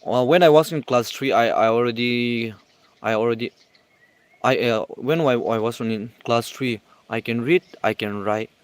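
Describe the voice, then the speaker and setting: A man hosting a casual livestream, reading viewer comments aloud and answering in halting English full of restarts. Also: medium pitch, clear, resonant tone, no echo. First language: not English.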